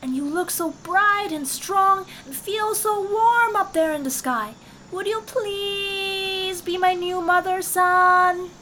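A woman voicing a spoilt little boy kitten in a storybook, calling out in a shrill, strained, pleading way. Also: big pitch swings, slow pace, bright, forceful tone, a little hiss or hum, exaggerated voice. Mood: eager.

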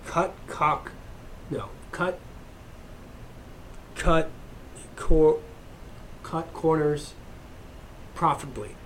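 A man's voice: a little high, lively intonation, drawn-out words, pauses often, a bit bright, a little hiss or hum. A man vlogging in a heated, profane, rambling rant to his viewers. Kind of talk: unscripted rant to camera.

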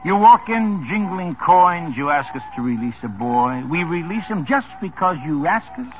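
An actor playing a teasing, mocking police partner in a 1950s radio drama. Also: male voice, a little high, very animated, normal speed. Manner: mocking, mock-hurt, teasing. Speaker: police officer. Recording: dull old radio broadcast with music.

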